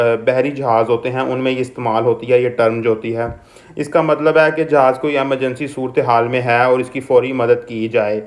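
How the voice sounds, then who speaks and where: A man presenting an informal explainer, often rephrasing and repeating his points. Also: mid-range voice. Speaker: presenter explaining a news topic.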